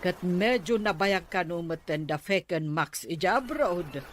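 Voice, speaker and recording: A woman's voice: on the low side, animated, unhurried. A woman with a Filipino accent playing an opinionated village neighbour in a conversational audio drama. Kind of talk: conversational Ilocano radio drama acting. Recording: faint background noise.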